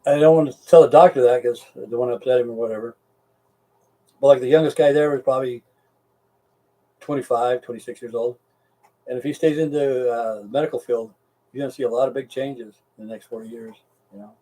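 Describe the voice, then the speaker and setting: Mellow-voiced, foul-mouthed American man rambling. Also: mid-range voice, steady tone, a little fast, long pauses, far from the mic, rough recording. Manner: profane, blunt, folksy. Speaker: live stream host. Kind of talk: casual rambling chat with viewers.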